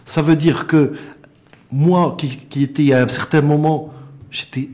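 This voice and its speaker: A man's voice: a little high, fairly even intonation, measured pace, warm tone. A middle-aged man from Morocco with a Moroccan accent, speaking as an interview guest in an impassioned, emphatic way, repeating words for stress. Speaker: interview guest, founder and director of an organisation.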